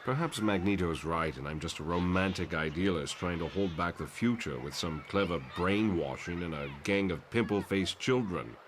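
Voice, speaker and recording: A man playing a disillusioned professor in a motion comic, brooding, articulate and measured, full of self-doubt. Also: low-pitched, lively intonation, slight background noise. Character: disillusioned male mutant professor, weary, self-doubting idealist.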